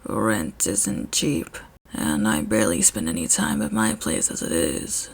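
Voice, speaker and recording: A gruff, awkward grown man in an audio story, performed by a woman. The voice sits low and soft, mumbled and halting. The delivery is deadpan and reserved. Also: exaggerated voice. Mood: hesitant.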